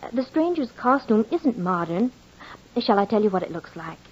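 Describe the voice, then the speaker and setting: A woman's voice: mid-range voice, big pitch swings, normal speed, darkish tone, slightly rough. Dreamy noblewoman, muffled vintage radio. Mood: calm, insistent. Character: gentle, dreamy young noblewoman wife seeing a mysterious visitant. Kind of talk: old-time radio drama acting. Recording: muffled old radio recording, faint music.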